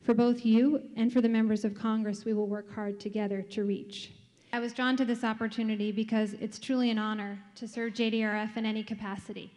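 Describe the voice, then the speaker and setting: A woman giving a formal, measured speech from a podium in a conference hall. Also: a little high, flat delivery, darkish tone. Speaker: event chair giving a speech. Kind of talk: prepared speech. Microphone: podium microphone. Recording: hall reverb.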